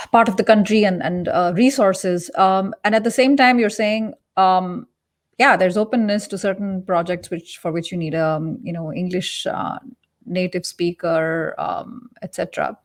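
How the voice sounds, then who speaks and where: A woman's voice: on the low side, steady tone, unhurried. Chatty woman moderating a panel. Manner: chatty, leans on "you know" and "like". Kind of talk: moderating an online panel discussion.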